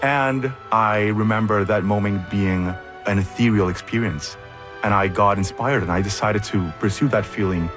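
A man's voice: on the low side, moderate pace, fairly bright tone, soft background music. A man from Puerto Rico, an opera competition finalist, earnestly recalling what inspired him. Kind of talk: recorded radio interview clip.

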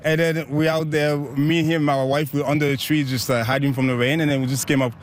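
A man's voice: a little high, flat delivery, a little fast, a little hiss or hum. A man from Haiti who organizes group bike rides, talking in a casual, upbeat interview outdoors and laughing often.

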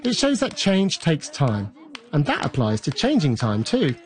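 A man's voice: fairly high pitch, huge pitch swings, a little slow. A man working as a radio reporter, chatty and lightly humorous in reports and studio discussion.

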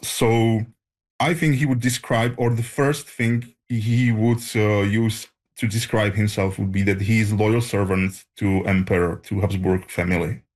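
Male academic interviewee, Czech accent, lowish voice, unscripted and feeling for the right English words. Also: steady tone, a little slow, darkish tone. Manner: hesitant, searching for words in non-native English. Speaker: historian, interviewee.

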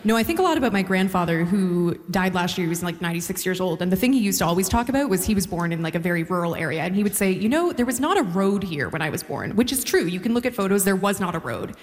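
A woman's voice: fairly low pitch, big pitch swings, fast talker, few pauses. A young adult woman interviewing a guest on stage, chatty and informal. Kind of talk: live onstage interview. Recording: reverberant hall on stage.

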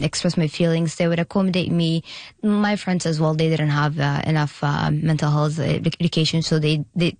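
A young woman with a low voice and a gravelly edge, speaking haltingly with many restarts. She is a guest in a radio studio interview. The sound is close and dry. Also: a little mellow, no echo. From Eritrea, raised in Sudan. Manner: halting, frequent restarts and hesitations. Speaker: guest, pageant contestant.

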